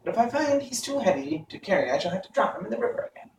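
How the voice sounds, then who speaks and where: A man voicing a kindly, brisk female stork in a storybook reading. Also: high voice, sing-song, voice pushed up. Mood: hesitant but willing. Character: kindly female stork, helpful talking bird. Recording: roomy, distant, faint noise.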